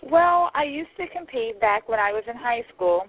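A woman's voice: a little high, expressive, normal speed, slightly rough. A woman in her forties, a pageant founder, chatting upbeat as a guest with many "um"s. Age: 40s. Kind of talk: casual interview over the phone. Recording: tinny phone line.

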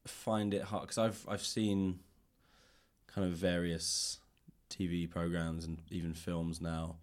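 Very low-voiced man hosting a chat. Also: little pitch movement, slow. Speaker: podcast host interviewing a guest. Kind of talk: casual chat. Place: library room.

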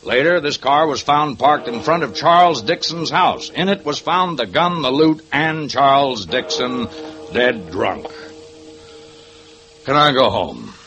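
An actor playing a tired, wisecracking police partner who rattles off case facts in a 1950s radio drama. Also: male voice, medium pitch, animated, measured pace, dull audio, close to an everyday voice. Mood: matter-of-fact. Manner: weary, sardonic, rattles off facts. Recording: radio drama with music bed.

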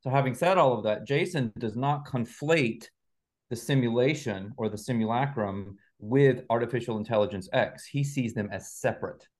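A man's voice: mid-range voice, moderate pace. A man speaking as an interview guest, explaining conversationally and carefully qualifying his points like a teacher.

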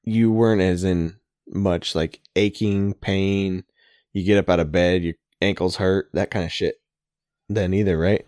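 Deep-voiced laid-back man. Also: unhurried, no room sound, clean studio sound. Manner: relaxed, laid-back, profane chat. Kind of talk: casual podcast chat.

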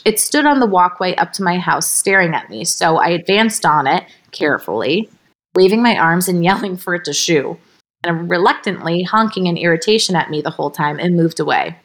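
A woman reading a story aloud as a podcast host, informal and expressive, ready to step out of the narration for asides. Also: lowish voice. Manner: expressive, breaks character, giggly slips and asides.

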